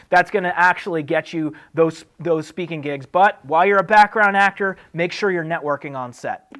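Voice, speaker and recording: A man's voice: high-pitched, a bit bright. A man speaks as the host of an advice show. His delivery is casual and conversational, explaining things step by step as if talking straight to the listener. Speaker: host answering acting career questions. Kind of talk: casual advice talk to camera.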